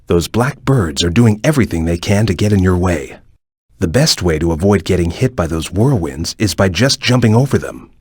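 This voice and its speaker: A man voicing a stern, watchful cartoon ninja guide in a video game, with a measured, formal, cautious way of advising. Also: low-pitched, breathy edge, no room sound, clean studio sound. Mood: watchful, serious. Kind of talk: video game voice acting, short hint lines.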